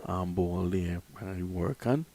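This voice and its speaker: Male cricket play-by-play voice, slightly low pitch, chatty and lively, punches big moments. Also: slow, gravelly, slight background noise. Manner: lively, chatty, swells loud on key moments. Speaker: cricket commentator. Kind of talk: live cricket commentary.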